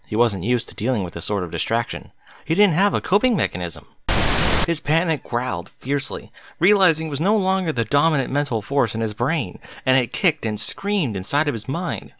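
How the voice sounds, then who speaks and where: Animated male story narrator, dry sound. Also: mid-range voice, no room sound. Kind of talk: expressive scripted story narration.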